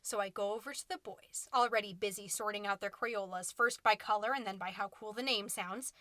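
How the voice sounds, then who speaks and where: Indignant, melodramatic suburban mom podcaster, played by a woman, sarcastic comedic monologue, bright and close dry sound. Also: medium pitch, fairly quick, no echo, close to an everyday voice. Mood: dramatic, scheming. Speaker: podcast host.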